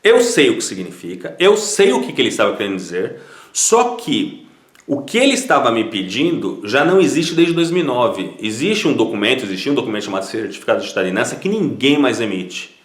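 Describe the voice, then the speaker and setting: A man's voice: mid-range voice, sing-song, brisk, roomy sound. A man from Brazil with a Brazilian Portuguese accent, giving casual, conversational advice to viewers as a citizenship consultant, often repeating words as he restarts.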